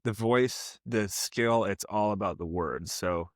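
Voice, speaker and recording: A man's voice: fairly low pitch, slow pace, no room sound, studio quality. A man as a podcast guest in casual conversation, laid-back and agreeable.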